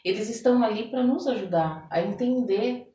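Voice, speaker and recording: A woman's voice: fairly high pitch, moderate pace, warm tone, slight background noise. A Brazilian woman talking conversationally as a podcast guest, explaining things and acting out little quotes as she goes. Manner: explanatory, illustrates points with acted-out quotes. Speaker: podcast guest, therapist and oracle card reader. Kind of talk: conversational interview talk. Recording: roomy echo, distant microphone.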